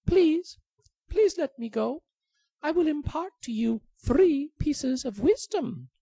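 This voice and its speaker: A woman voicing a small, clever golden bird in a told folktale, speaking in a shrill, taunting way. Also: lively intonation, slow pace, dark tone, dry recording, full character voice. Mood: pleading.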